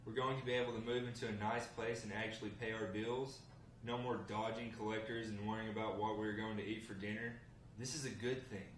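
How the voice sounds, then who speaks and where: A man's voice: fairly low pitch, flat delivery, fairly quick, natural voice. A man reading the part of a confident, defensive husband who is joining a gang, in a drama script table read. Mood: defensive, insistent. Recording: echoey room, distant mic, faint noise.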